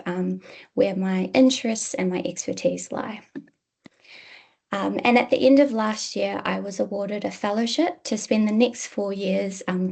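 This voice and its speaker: A woman with a New Zealand accent, a university lecturer giving an academic presentation in a measured way, with frequent "um" fillers. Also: mid-range voice, vocal fry, dark tone.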